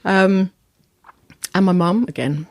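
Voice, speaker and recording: Low-voiced woman, reflective storyteller. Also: darkish tone, dry recording. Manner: thoughtful, unhurried, frequent ums and restarts. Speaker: sound therapist and former opera singer, podcast guest. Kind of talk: reflective storytelling in a podcast conversation.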